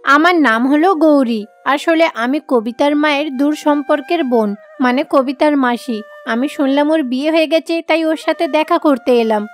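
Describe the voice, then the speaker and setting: A woman's voice: high-pitched, brisk, lightly acted. A woman voicing a kindly village aunt in a cartoon fairy tale, affectionate, chatty and reassuring. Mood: friendly. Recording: background music under the voice.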